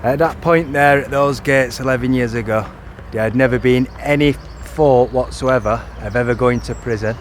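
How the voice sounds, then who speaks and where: A man's voice: mid-range voice, normal speed, few pauses. A man, talking to camera outdoors by a street, repeating and correcting himself insistently. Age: 30s. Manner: repetitive, restarting, insistent. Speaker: vlogger. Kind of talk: first-person video to camera. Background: traffic noise.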